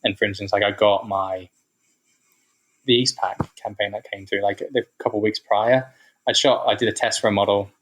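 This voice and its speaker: A man talking as a photographer guest in a podcast interview, relaxed and rambling, with lots of fillers. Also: on the low side, normal speed, low-quality recording. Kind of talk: casual interview chat.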